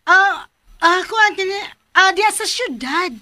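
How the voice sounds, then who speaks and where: High, bright-voiced scheming young Cebuano woman. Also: expressive, unhurried, heavily acted. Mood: coy, evasive. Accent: Filipino, Cebuano. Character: scheming young woman, deceitful and determined kidnap plotter. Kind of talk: Philippine radio drama acting.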